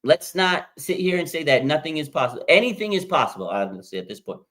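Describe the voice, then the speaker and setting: Excitable American man, higher voice. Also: fast talker. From Las Vegas, Nevada, USA. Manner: excitable, with sudden pitch jumps. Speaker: livestream host. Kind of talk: casual live chat, reading fan comments.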